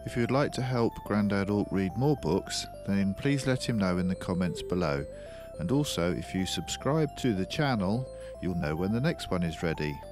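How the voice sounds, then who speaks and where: An older man reading a children's book aloud as storyteller, unhurried and expressive. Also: deep voice, over music.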